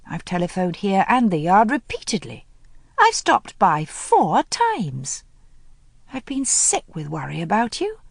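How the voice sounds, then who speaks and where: A woman's voice: mid-range voice, wildly swooping pitch, measured pace, gravelly, no echo, studio quality, heavily acted. A woman voicing a spirited aristocratic woman who speaks earnestly and presses hard with her questions, read as an audiobook character. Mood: pressing. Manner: earnest and pressing, rising in exasperation.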